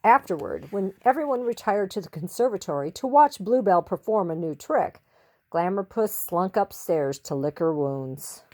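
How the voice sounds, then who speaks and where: A woman narrates a children's story with a very lively, varied pitch. She is theatrical and stretches out key words for emphasis. Her voice sits in a middle register. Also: moderate pace.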